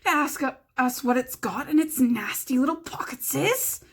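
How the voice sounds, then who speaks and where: Woman doing a sly hissy cave creature guy, whispery and high, breathy. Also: animated, moderate pace, a bit bright, exaggerated voice. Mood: hissing. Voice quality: whispery hissing voice, shrill cries. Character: hissing wretched cave creature, male, greedy, sly.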